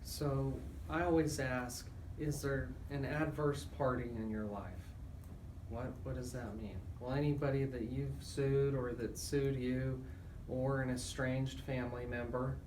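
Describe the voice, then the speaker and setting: A man's voice: mid-range voice, fairly even intonation, a little slow, a little mellow, echoey room. Man, radio show lawyer, conversational explainer, distant from mic, noticeable background noise.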